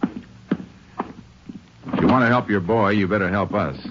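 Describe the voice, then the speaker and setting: An actor playing a steady, shrewd Texas Ranger who speaks and narrates in a terse, authoritative way in an old radio drama. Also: male voice, on the low side, slow, dull audio. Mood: firm, probing. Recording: noisy, band-limited old radio recording.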